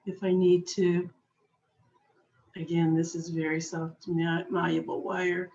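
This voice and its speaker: Slow, patient woman teaching a craft. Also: on the low side, darkish tone, lo-fi sound. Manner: patient, chatty, talks while working with her hands. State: slightly hoarse. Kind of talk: slow step-by-step craft demonstration.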